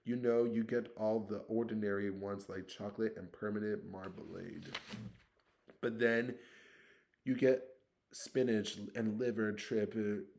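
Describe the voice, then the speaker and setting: A man's voice: lowish voice, steady tone, measured pace, a little mellow, a little hiss or hum. A man voicing a blunt, grumbling schoolboy wizard in a read-aloud story. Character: cheeky, scruffy schoolboy wizard.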